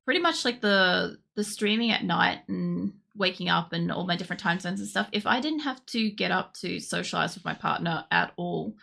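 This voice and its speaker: A tired young woman streamer chatting casually while she draws, with a croaky voice and a rambling way of talking full of fillers. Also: fairly low pitch, normal speed, hardly any pauses, pushed and bright. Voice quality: croaky, gravelly. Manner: rambling, with many fillers and restarts. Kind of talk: casual chat during a drawing stream.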